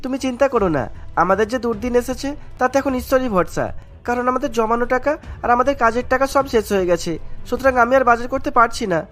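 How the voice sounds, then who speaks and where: Fast, high-voiced young husband, voiced by a woman. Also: soft background music, close to an everyday voice. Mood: reassuring. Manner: earnest, reassuring. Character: kind young village husband, devout and reassuring. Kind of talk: cartoon story dialogue.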